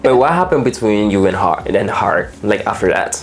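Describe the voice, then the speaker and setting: A woman's voice: very deep voice, expressive, a little fast, slight background noise. A woman interviewing casually, echoing answers in short reactions and asking follow-up questions.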